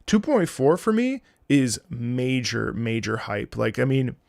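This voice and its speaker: Gravelly man, casual rambling game commentary. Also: mid-range voice, sing-song, measured pace, a little mellow, no room sound, clean studio sound. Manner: rambling, thinking aloud. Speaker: streamer.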